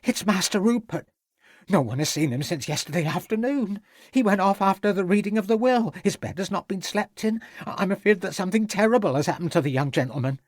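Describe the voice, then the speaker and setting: A man's voice: medium pitch, a little fast, a little mellow, slightly rough, dry recording, clean studio sound, close to an everyday voice. A man putting on a fretful tearful female cook. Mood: agitated. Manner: anxious, quavering, stammering pleas.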